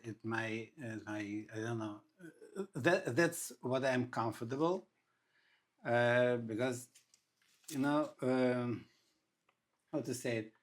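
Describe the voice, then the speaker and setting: A middle-aged man, a guest on a podcast interview, often pausing to search for words. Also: medium pitch. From Russia. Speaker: insurance agent guest.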